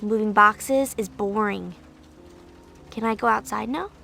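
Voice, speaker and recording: A woman's voice: medium pitch, measured pace, creaky, music in the background. A woman playing a bored, restless young girl in a video game, sulky and curious, with a whiny, impatient way of talking. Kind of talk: video game cutscene voice acting.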